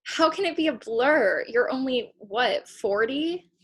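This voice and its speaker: A woman's voice: sits high, lively intonation, measured pace, breathy edge, exaggerated voice. A woman playing an idealistic, stubborn young girl in a comedy play reading, emphatic and indignant. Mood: questioning. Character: idealistic, stubborn young girl meeting her future selves. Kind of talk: comedy play read aloud.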